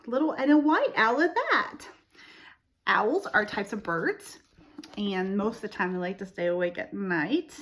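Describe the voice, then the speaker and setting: A woman reading a picture book aloud to young children, expressively, pausing to explain and ask them questions. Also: mid-range voice, lively intonation, a bit bright, slight background noise. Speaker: storytime host reading to children.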